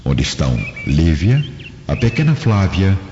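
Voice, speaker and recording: A man's voice: low voice, big pitch swings, unhurried, noisy background. A man delivering solemn, scripted narration for a radio drama. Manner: solemn storytelling. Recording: muffled, echoey reverb, music behind.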